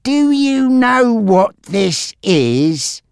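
A narrator voicing a menacing, taunting male gangster boss in an audiobook story, with a threatening, drawn-out delivery. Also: medium pitch, big pitch swings, creaky, a little mellow, clear, resonant tone, no echo, studio quality, voice pushed up, exaggerated voice. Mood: cold threatening.

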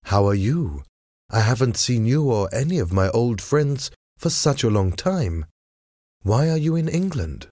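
A man's voice: mid-range voice, very animated, a little slow, a little mellow, dry recording, studio quality, voice pushed up. A man voicing a proud, guarded French lady in an audiobook, polite but firm. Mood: polite, curious.

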